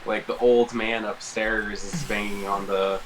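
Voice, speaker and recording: A man's voice: fairly low pitch, little pitch movement, measured pace, crowd noise, rough recording. A man co-hosting a podcast, chatting casually in a laid-back, loose way. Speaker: podcast co-host. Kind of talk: casual chat.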